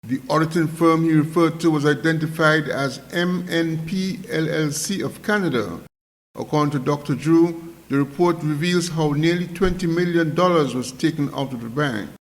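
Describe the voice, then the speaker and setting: A man's voice: fairly high pitch, normal speed. A man reading scripted news narration as a reporter.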